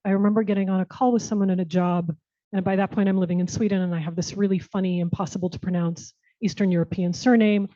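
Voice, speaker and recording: A woman's voice: mid-range voice, mellow, dry recording. An American woman speaking as a guest at a Q&A, answering earnestly and reflectively, often restarting her phrases. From United States. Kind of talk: conversational answers at a panel Q&A. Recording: muffled dull sound.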